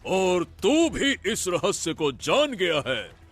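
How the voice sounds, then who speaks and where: A man's voice: sits high, sing-song, takes it slowly, breathy edge, exaggerated voice. A man playing a menacing, murderous king disguised as a prince in a dramatic cartoon story. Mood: threatening.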